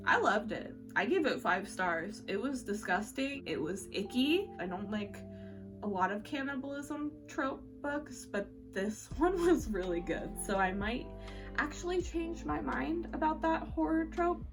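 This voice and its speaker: A woman's voice: sits high, animated, unhurried. A woman chatting casually about what she has read as a book vlogger, informal and candid with slang and some swearing. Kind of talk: casual chatty monthly reading recap to camera. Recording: music playing behind the voice. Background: background music.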